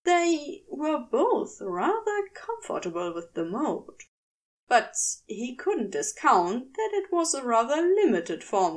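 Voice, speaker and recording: A woman narrating a story in a measured, expressive storytelling manner, as in an audiobook. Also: a little high. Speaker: podfic reader. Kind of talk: scripted fiction narration, audiobook reading.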